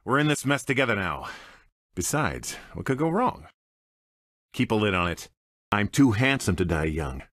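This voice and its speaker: A man's voice: fairly low pitch, sing-song, moderate pace, long pauses, fairly bright tone, no echo. An American man playing a cocky, wisecracking space smuggler and pilot in a video game, with a dry, sardonic, swaggering manner. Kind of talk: video game character voice clips. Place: dry studio recording.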